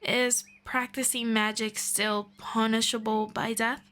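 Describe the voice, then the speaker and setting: A woman voicing a patient, gently formal female elf friend in an ASMR roleplay, speaking softly and politely. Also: a little high, little pitch movement. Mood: calm, patient. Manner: soft, unhurried, politely formal.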